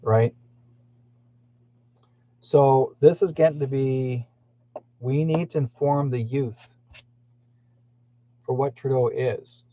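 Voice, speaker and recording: A man's voice: medium pitch, takes it slowly, long pauses, dark tone. A man who hosts a channel, rambling indignantly in a monologue and speaking straight to his listeners. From Canada. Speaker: channel host. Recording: muffled lo-fi recording.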